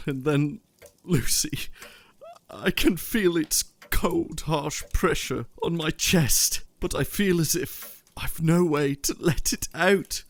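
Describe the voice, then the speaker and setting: Sincere young male gentleman in mourning played by a man, higher-set voice, unhurried and halting, for period radio play. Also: no echo. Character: earnest, bereaved young Victorian gentleman. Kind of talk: audio drama acting.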